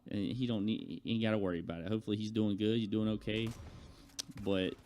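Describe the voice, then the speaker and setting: A man's voice: lowish voice, steady tone, quick pace, a little mellow. A man telling personal stories to his viewers in a casual, rambling, colloquial way, often acting out bits of conversation. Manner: colloquial, conversational, acts out quoted exchanges.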